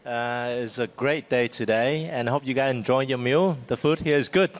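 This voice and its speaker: A man's voice: mid-range voice, normal speed. A man giving a live business presentation in informal, simple English. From Vietnam and New Zealand. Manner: informal, simple non-native English phrasing. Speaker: company founder, presenter. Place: seminar stage at a trade fair. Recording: noticeable ambient background noise.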